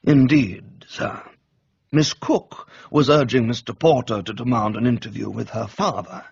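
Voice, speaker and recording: A man voicing an unflappable, deferential English valet with formal, measured, refined speech in a dramatised book reading. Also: medium pitch, steady tone, creaky, slightly rough, muffled recording. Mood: unruffled.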